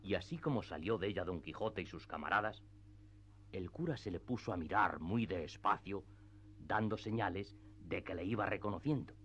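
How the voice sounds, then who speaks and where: A man's voice: low voice, expressive, moderate pace, fairly bright tone, husky. A man narrating a classic literary tale as the scripted narrator of a radio theatre piece.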